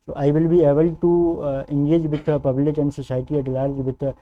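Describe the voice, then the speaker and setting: A young man from northern India, a native Hindi speaker with a North Indian accent, answering formal interview questions as a candidate in a halting, deferential way. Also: fairly high pitch, level tone, phone-quality sound. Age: young adult. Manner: hesitant, halting, deferential, often says sir. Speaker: civil service candidate in mock interview.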